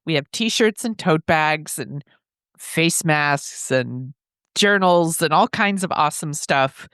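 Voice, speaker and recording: A woman with a head cold, sniffly, co-hosting a podcast with wry, deadpan quips. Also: sits low, takes it slowly, clear, resonant tone, dry recording, studio quality. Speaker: podcast co-host. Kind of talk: casual podcast chat.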